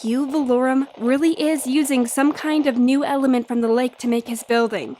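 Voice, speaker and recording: An actress playing a brash, tenacious 1930s newspaper reporter, feisty and full of snappy period slang. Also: female voice, high-pitched, fairly even intonation, moderate pace, a little mellow, slight background noise, close to an everyday voice. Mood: insistent. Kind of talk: old-time radio drama acting.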